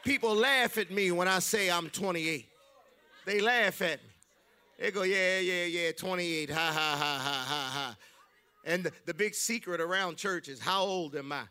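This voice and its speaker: A man preaching a sermon in a church, emphatic and loud, mixing humor with exhortation. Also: high voice, unhurried, smooth. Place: church sanctuary.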